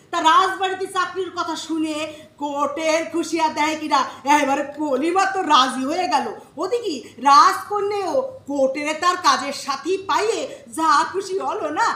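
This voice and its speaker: Village storyteller, played by a woman: high-pitched, bustling, Khulna Bengali accent, roomy stage sound. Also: sharp tone. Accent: Khulna regional Bengali. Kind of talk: folk-tale narration on stage, with song.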